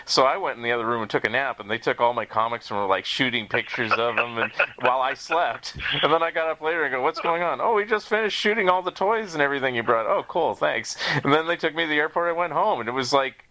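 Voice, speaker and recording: A man with a bright, forward voice talks casually as a podcast host. He is chatty and wanders through his sentences, with frequent little chuckles, at a medium pitch. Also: quick pace, hardly any pauses, rough recording. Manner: chatty, rambling, chuckles often.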